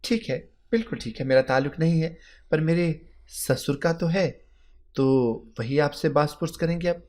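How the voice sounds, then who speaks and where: A man's voice: a little high, moderate pace, a little mellow, pitched above the performer's own voice, lightly acted. A suspicious young daughter-in-law voiced by a male storyteller demands answers in a firm, bitter way. It is dramatic audio storytelling, with a stern edge to the questions. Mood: threatening.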